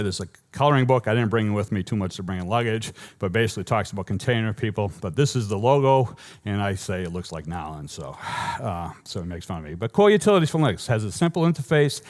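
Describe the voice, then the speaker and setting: A man with a Boston accent giving a technical talk at a conference, joking with the audience and often correcting himself mid-sentence. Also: lowish voice. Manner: lively, joking, rambling with self-corrections. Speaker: conference speaker. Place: conference hall before an audience.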